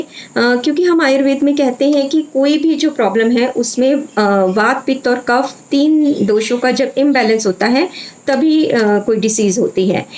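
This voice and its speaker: A woman's voice: fairly high pitch, a little mellow, rough recording. A woman speaking as an Ayurvedic doctor, giving an informal health talk with frequent hesitations and phrases mixed in.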